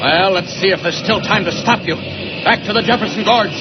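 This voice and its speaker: A man's voice: high-pitched, a little fast, close to an everyday voice. An actor playing a determined male superhero in 1940s radio serial style, urgently thinking aloud. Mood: resolute, urgent. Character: determined, heroic adult male flying superhero. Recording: muffled vintage radio, very loud noise.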